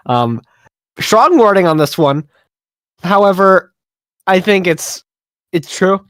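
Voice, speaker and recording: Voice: mid-range voice, expressive, slow, fairly bright tone, no echo. A podcast moderator stating opinions bluntly and swearing casually. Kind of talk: casual group discussion.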